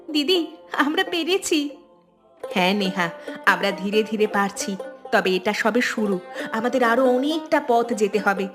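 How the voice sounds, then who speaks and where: A woman's voice: high voice, lightly acted. A woman playing wronged young village sisters-in-law in a cartoon story, speaking in an earnest, plaintive way. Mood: hopeful. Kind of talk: Bengali cartoon story dialogue. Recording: music behind the voice.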